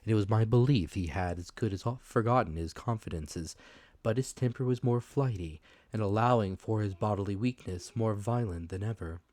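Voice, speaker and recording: A man's voice: fairly low pitch, moderate pace, a little mellow, dry recording. A man reading a classic adventure novel aloud as dramatic audiobook narration.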